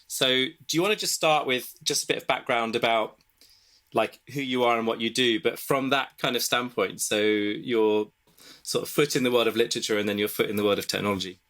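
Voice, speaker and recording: A man's voice: medium pitch, steady tone, fairly quick, a bit bright. A man hosting a podcast, asking casual, loosely phrased questions with fillers. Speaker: podcast host. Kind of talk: interview questions.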